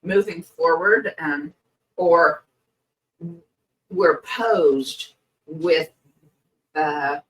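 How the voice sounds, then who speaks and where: A woman co-hosting a podcast, speaking slowly and reflectively with many pauses, her voice a little hoarse and croaky. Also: low voice, recorded from a distance, low-quality recording. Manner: hesitant, many pauses and fillers. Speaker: podcast co-host. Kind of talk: slow reflective podcast chat.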